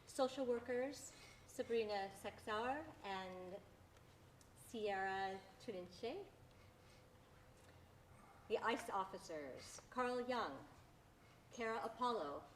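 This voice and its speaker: A woman reading scripted stage directions as narrator, in deliberate, measured phrases. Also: mid-range voice, a little hiss or hum, rough recording.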